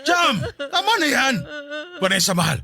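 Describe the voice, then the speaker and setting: Exasperated high-voiced father. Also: big pitch swings, moderate pace, a loud crowd, full character voice. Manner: pleading, exasperated. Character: exasperated father pleading for calm between wife and daughter. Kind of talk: Tagalog radio drama acting.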